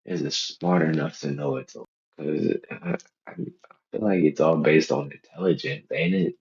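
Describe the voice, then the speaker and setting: A man's voice: very deep voice, sing-song, normal speed, creaky, a little mellow, lo-fi sound. A twenty-year-old man giving casual, unscripted reaction commentary in a slangy, profane, joking way, often repeating his phrases. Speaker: reaction video host.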